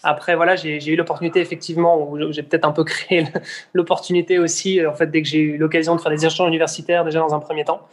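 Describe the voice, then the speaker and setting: Hesitant young man, slightly high. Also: flat delivery, fast. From Belgium. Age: 20s. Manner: hesitant, many fillers and restarts. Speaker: guest, brand founder. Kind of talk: casual interview answers.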